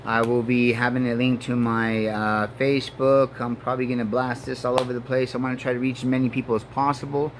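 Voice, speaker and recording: A man in his forties from Mexico and Colorado, a native Spanish speaker, talking in a halting, earnest personal monologue full of fillers and restarts. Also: mid-range voice, flat delivery, slight background noise. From Mexico and Denver, Colorado. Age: 40s. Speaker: vlogger. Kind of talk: rambling personal monologue to camera.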